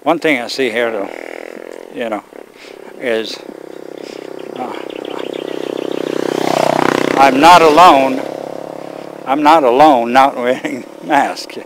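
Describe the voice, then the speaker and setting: Older male vlogger, hoarse and fading voice, relaxed rambling, handheld camera outdoors, faint background noise. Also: mid-range voice, takes it slowly, long pauses. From Sweden. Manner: relaxed, rambling, talks to viewers while walking. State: losing his voice. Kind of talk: casual walking vlog. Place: outdoors on a rural road among rice fields. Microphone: handheld camera on a selfie stick.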